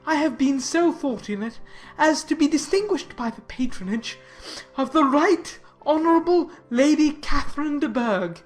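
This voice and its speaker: Woman reading formal letter, slightly high voice. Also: expressive, moderate pace, soft background music. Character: pompous, obsequious clergyman's letter, read aloud.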